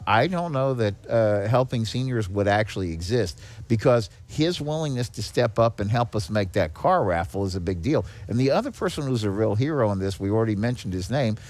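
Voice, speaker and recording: A man's voice: mid-range voice, darkish tone. A man speaking as a guest in a casual interview, earnest and appreciative in manner. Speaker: podcast guest, charity executive director. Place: on location at a garage. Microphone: podcast microphone with headphones.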